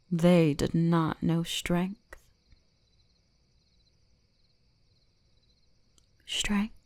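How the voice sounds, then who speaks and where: A woman voicing a teasing, gently dominant kitsune shrine maiden in an intimate ASMR roleplay, often slipping into whispers. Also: mid-range voice, creaky, slightly rough, exaggerated voice. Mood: smug, teasing.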